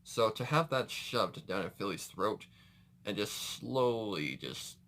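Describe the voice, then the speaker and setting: A man's voice: fairly low pitch, animated, a little slow, fairly bright tone. A man hosting a reaction video with casual, rambling, exclamatory commentary.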